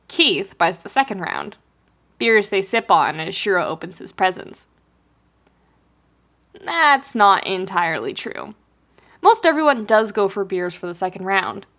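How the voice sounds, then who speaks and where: A woman reading fiction aloud as a podfic narrator, in an expressive scripted storytelling style. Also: a little high.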